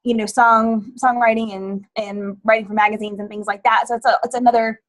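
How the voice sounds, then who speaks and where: A woman's voice: on the high side, flat delivery, brisk. A woman moderating a casual panel chat, steering the talk between guests. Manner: chatty, steers the discussion.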